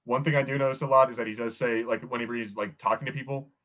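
American man, quick delivery, casual podcast chat, rambling phrasing, review discussion. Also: mid-range voice, fairly even intonation, fairly bright tone. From United States. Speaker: podcast co-host.

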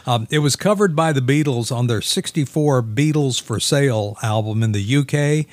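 A man's voice: mid-range voice, normal speed, no echo. An American man co-hosting a radio show, chatting casually and sharing music trivia in an agreeable, enthusiastic way.

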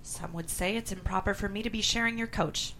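A woman's voice: mid-range voice, a little fast, sharp tone, slight background noise, close to an everyday voice. Woman playing a bold, spirited young wife. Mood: polite, pointed. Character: bold, cheeky young married woman of the 1890s. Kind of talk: actor at a script table read.

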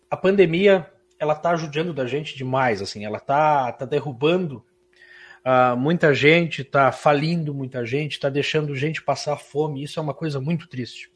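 Heartfelt southern Brazilian man, fairly high voice. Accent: southern Brazilian gaúcho. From Santa Catarina, Brazil. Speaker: musician guest. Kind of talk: interview conversation.

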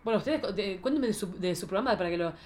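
A woman's voice: mid-range voice, expressive, rapid-fire, a little mellow. A woman hosting a casual chat in a playful, campy manner. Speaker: host. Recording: noisy recording with background ambience.

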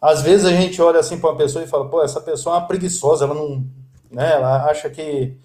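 A man in his fifties from Brazil, a guest telling stories in a relaxed, chatty way. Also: on the high side, fast, echoey room. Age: 50s. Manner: storytelling, lively and chatty. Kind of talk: casual interview chat.

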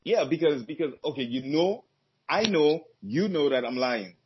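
A man's voice: a little high, expressive, normal speed, a little hiss or hum. A man talking casually as a podcast guest, easygoing and rambling, with fillers and restarts. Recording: dull lo-fi sound.

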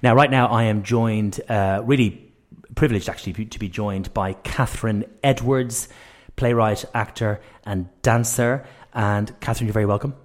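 A man hosting a radio interview in a relaxed, encouraging chat style, enthusiastic and affirming, recorded at a radio station. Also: lowish voice, normal speed.

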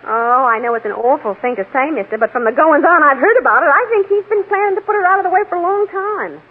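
Nosy American housewife, very high swooping voice, garrulous, fluttery, 1940s radio play, muffled narrow old recording. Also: female voice, quick pace. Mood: eager, chatty. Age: middle-aged. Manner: garrulous, self-important, coyly excitable. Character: gossipy, nosy, fluttery housewife and eager neighbourhood witness. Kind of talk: 1940s radio drama acting.

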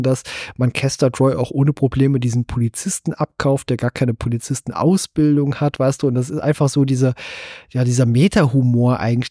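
A man's voice: medium pitch, mellow, no room sound, studio quality. A man hosting a podcast, discussing films casually in slightly hesitant, meandering sentences. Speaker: podcast host.

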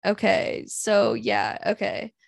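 Woman in her twenties, from Canada, gravelly fry, dry close sound, casual candid rambling vlog talk. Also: mid-range voice, sing-song, slow pace, no room sound. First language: English. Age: 20s. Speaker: vlogger answering viewer questions. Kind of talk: casual chat.

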